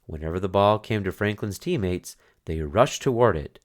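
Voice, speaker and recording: A man reading a children's picture book aloud as narrator, in expressive storytelling style. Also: low-pitched, moderate pace.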